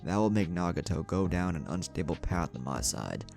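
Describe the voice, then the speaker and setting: A calculating masked villain, acted by a male narrator in an anime fan story. The voice is very deep and gravelly, with slow, deliberate menace over faint music. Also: expressive, darkish tone. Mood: calculating, menacing.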